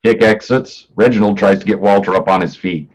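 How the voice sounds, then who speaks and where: A man's voice: fairly low pitch, fairly even intonation, fairly quick, breathy edge, rough recording. A man narrating stage directions for a play, reading from a script in the present tense.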